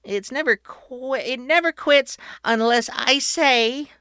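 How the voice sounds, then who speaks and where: A woman voicing an excited young boy who shows off his inventions, calling out like a showman. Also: slow, clean tone, no echo, clean studio sound, heavily acted. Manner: shouty and showman-like, booming announcements.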